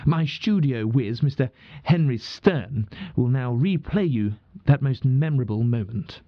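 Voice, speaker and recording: Lively 1940s British radio host played by a man. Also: medium pitch, a little slow, no echo, highs cut off. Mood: formal, measured. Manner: formal, chatty, old-fashioned turns of phrase. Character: genial 1940 British wartime radio broadcaster, family man. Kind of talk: scripted 1940s radio acting.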